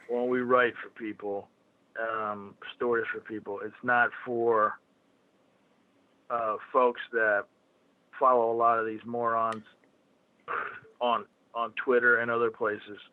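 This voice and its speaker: A man's voice: mid-range voice, no echo. Man, Philadelphia accent, blunt and profane, tinny phone line, dry sound. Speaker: phone guest. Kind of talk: casual phone conversation.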